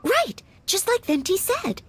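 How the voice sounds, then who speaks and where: A woman's voice: very high-pitched, sing-song, a little slow, a bit bright, full character voice. A woman voicing a sweet, curious young girl adventurer in a video game, earnest and bubbly. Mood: eager. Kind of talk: video game cartoon voice acting.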